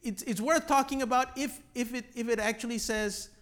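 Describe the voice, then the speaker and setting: A man from Malaysia teaching a class informally, halting and repeating words, often checking in with "right?". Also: very high-pitched, a bit bright. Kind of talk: informal teaching with questions from the group.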